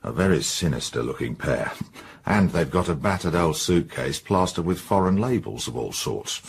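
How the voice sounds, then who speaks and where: An actor playing a blunt, suspicious middle-aged English police inspector, bluff and colloquial, in a radio drama. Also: male voice, low-pitched, animated, normal speed. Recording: clear background noise and ambience.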